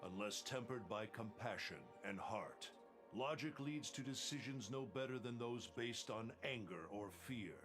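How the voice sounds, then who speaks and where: An actor playing a wise, humbled god in a video game, delivering a grave, formal, contemplative monologue. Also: male voice, medium pitch, animated, measured pace, lightly acted. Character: wise, humbled male thunder god, solemn. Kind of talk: dramatic game ending monologue. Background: music.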